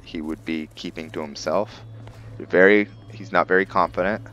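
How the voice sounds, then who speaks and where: Very deep-voiced man musing over a game. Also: fairly even intonation, moderate pace, fairly bright tone, a little hiss or hum, poor audio. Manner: musing aloud, hesitant, thinking through his character. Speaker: gaming streamer and roleplayer. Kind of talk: casual roleplay gameplay commentary.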